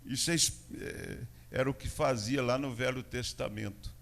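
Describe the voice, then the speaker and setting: A Brazilian man, a pastor preaching a sermon in a church with a São Paulo interior accent, moving between loud proclamation and quiet asides. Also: medium pitch, normal speed, creaky. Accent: Brazilian Portuguese, São Paulo interior.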